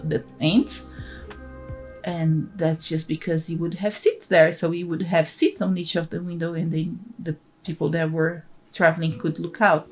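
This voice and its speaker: A young woman from Brazil, a native Portuguese speaker, talking in English with a Brazilian accent in a casual, laughing commentary over her game build. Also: lowish voice. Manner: chatty, laughs often, restarts words. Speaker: gaming channel host.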